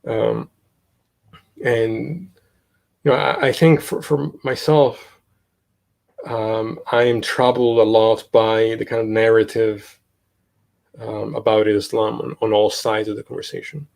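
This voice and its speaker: A young man from Italy, talking casually as an interview guest, halting with many fillers and restarts. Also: on the low side, little pitch movement, vocal fry, a little mellow. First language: Italian. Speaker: guest, podcast host.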